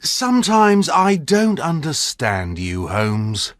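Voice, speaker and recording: A man's voice: fairly high pitch, wildly swooping pitch, slow, a bit bright, no room sound, clean studio sound, voice pushed up, heavily acted. A man doing a mild, sensible doctor. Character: calm male doctor, quiet and sensible.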